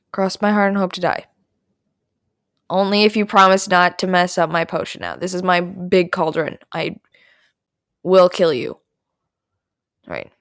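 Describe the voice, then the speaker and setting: A woman's voice: on the low side, no room sound, clean studio sound. A woman voicing a mischievous male trickster witch who teaches potion-making in an audio roleplay. The witch snickers, teases and stumbles over hesitant fillers. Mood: defensive, grudging. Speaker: potion tutor.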